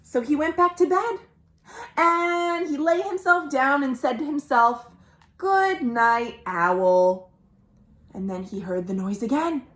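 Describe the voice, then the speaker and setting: A woman reading a picture book aloud for young children as a storytime host, animated, with questions to listeners and dramatic pauses. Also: high-pitched. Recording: roomy, echoing indoor sound.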